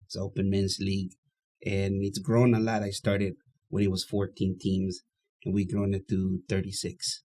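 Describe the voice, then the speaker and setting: A man, a guest in an interview, explaining his side activities in a steady, matter-of-fact way. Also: low voice, moderate pace. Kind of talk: casual interview chat.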